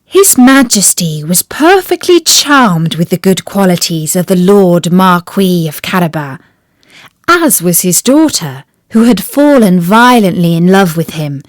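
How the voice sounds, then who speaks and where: A woman tells a classic fairy tale at an unhurried pace. Her pitch moves animatedly from phrase to phrase, and the recording is dry and close. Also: big pitch swings, dry recording. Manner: slow, clear storybook narration. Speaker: storyteller. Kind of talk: fairy-tale audiobook reading.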